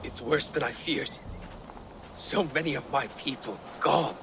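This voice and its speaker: An actor playing a troubled, earnest Viking jarl in a video game, speaking gravely. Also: male voice, mid-range voice, measured pace, background noise, lightly acted. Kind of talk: video game dramatic acting.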